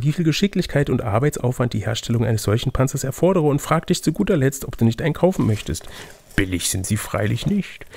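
A man with a raspy, slightly creaky voice acts as game master for an adventure gamebook. His pitch is of middle height, and he moves between reading aloud and casual banter. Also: a little mellow, no room sound.

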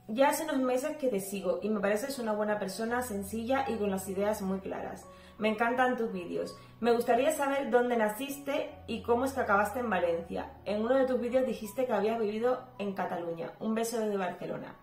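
A woman's voice: fairly high pitch, normal speed. A woman, chatting casually to camera in a home Q&A vlog, talkative and full of fillers. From Cuba. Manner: chatty, talks a lot, frequent fillers. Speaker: home vlogger. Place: bedroom at home, indoors. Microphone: camera at desk distance. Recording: echoey room with faint music.

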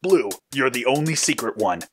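A man's voice: mid-range voice, animated, normal speed, loud music behind the voice, voice pushed up, close to an everyday voice. A man voicing a hot-headed, competitive agent in an audio story, indignant and demanding. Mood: accusing. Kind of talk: acted audio story dialogue.

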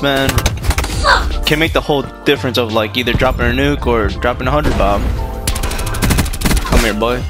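A young man talks through a shooter match in a slangy, easygoing way and keeps breaking into loud, excited yelling. His voice sits in a middle pitch with a slight morning depth. Game music plays clearly under his voice. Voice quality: deeper than usual after waking. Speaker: gaming YouTuber. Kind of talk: live gameplay commentary.

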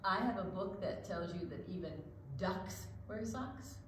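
An older woman with a medium-pitched voice banters simply for children. The room echoes strongly around her, with faint background noise. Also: measured pace. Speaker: children's storytime co-host. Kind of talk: gentle banter addressed to children. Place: library room, indoors.

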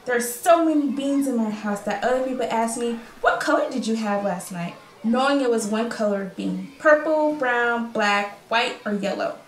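Woman acting candid refugee child, girl, radio play, high voice, roomy sound. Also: moderate pace, few pauses, lightly acted. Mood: disgusted, amused. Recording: echoey room with faint noise.

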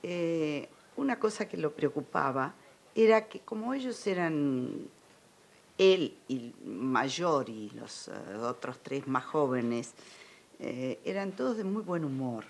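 A woman's voice: deep voice, animated, slow pace, creaky, a little hiss or hum. An older Argentine woman reminiscing conversationally as a studio interview guest. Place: television studio.

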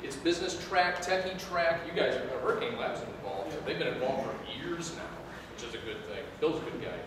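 Chatty presenter in an echoey room. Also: male voice, fairly high pitch, animated, fairly quick. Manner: chatty, joking, loose. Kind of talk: casual conference talk. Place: meeting room with a projector. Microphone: far room mic. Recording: echoey room, mic far off, hiss.

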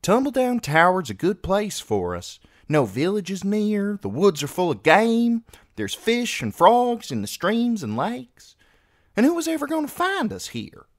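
Feisty teenage girl, played by a man, argumentative and insistent, pitched high, for a storybook. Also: huge pitch swings, a little slow, full character voice. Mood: defiant.

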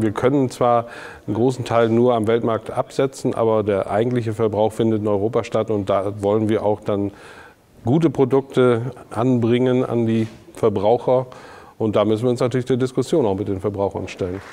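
A man's voice: mid-range voice, dark tone. A man giving an unscripted, explanatory interview answer.

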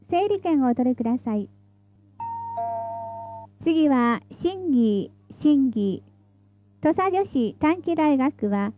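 A woman's voice: sits high, dry recording, highs cut off. A woman reading scripted tram announcements in a formal, polite, carefully enunciated way, as the stop announcer.

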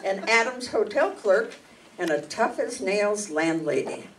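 A woman's voice: medium pitch, animated, unhurried. An older woman reading a scripted introduction as presenter of a radio play. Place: meeting room stage before an audience. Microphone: handheld microphone, recorded by audience camera. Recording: distant mic, noisy hall.

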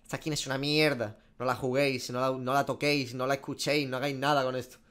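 A man's voice: mid-range voice, little pitch movement, fast, fairly bright tone, smooth. A man, giving casual, profanity-laced reaction commentary as a gaming streamer. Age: 20s. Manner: slangy, profane. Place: small room at home.

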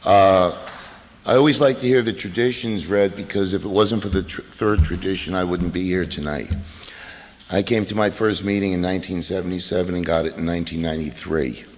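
A man's voice: low voice, brisk. A middle-aged man from the US East Coast, telling his recovery story to a meeting in a blunt, wisecracking way. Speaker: AA meeting speaker. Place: meeting hall. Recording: hall reverb from a PA.

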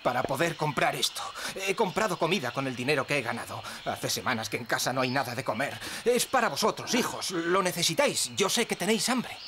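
A man's voice: a little high, lively intonation, brisk, hardly any pauses, natural voice. A man voicing a remorseful, desperate farmer and father in a cartoon, anguished and pleading, with noise behind him. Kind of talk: animated cartoon dub.

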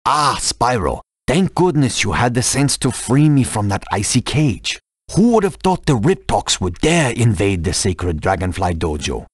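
A man's voice: mid-range voice, very animated, unhurried, husky, no room sound, studio quality. A man voicing a kindly, dignified elder dragon master in a video game, formal and courteous. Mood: grateful, earnest. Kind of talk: video game character dialogue.

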